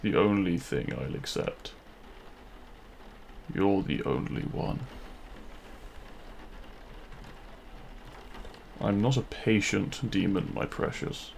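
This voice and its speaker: An actor playing a demon king, a deliberate and intimate lover, speaking straight to the listener in a romantic audio roleplay. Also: sits low, animated, creaky, a little hiss or hum, full character voice. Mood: menacing, possessive. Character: feared demon king, menacing in public, tender lover in private.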